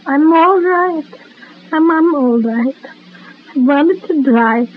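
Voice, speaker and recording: A woman's voice: high voice, takes it slowly. An actress playing a stubborn, loving housewife and mother in an old-time radio soap opera, with folksy grammar and repeated phrases. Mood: insistent, soothing. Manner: folksy immigrant-style grammar, repeats herself. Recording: lo-fi old radio recording with hiss.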